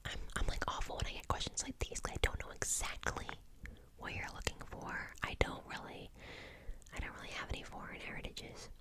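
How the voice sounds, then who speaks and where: A woman in her twenties from the United States, whispering an ASMR question-and-answer session in a chatty way with lots of "um" and "like". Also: a little fast. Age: 20s. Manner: soft unvoiced whisper, chatty with many fillers. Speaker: ASMR channel host.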